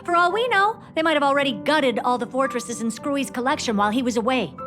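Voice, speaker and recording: Game role: sassy young female genius, high voice, casually superior, music clearly behind. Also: quick pace, a bit bright. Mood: wry, dismissive. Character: cocky, blunt young female genius, flippant. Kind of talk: scripted game character dialogue.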